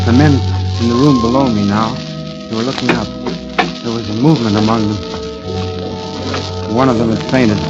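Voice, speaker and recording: An actor playing a grave, haunted condemned man who tells his own story haltingly, in the style of 1940s radio drama. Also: mid-range voice, natural voice. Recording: dull vintage radio recording, loud music.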